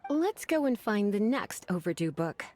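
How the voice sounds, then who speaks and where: A woman playing a poised, coy young female librarian in a video game, with refined and gently condescending manners. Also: medium pitch, big pitch swings, moderate pace, faint music behind, natural voice. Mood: teasing, poised. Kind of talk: video game character acting.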